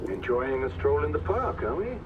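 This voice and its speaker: An actor playing a calm, dryly witty veteran knight commander giving radio briefings in a video game. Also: male voice, on the high side, moderate pace, far from the mic, music in the background. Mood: measured, dry. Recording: muffled radio link, clear background noise.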